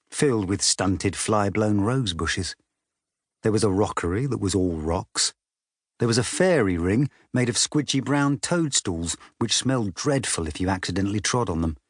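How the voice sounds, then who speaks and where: A man's voice: on the low side, expressive, a little slow, no room sound, studio quality. A man narrating a children's storybook as a scripted audiobook.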